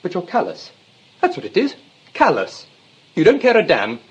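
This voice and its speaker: An actor playing a temperamental, lovesick young upper-class English gentleman in a stage-style comedy, gushing and petulant by turns. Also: sits high, wildly swooping pitch, normal speed, slightly rough, highs cut off, full character voice. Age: young adult.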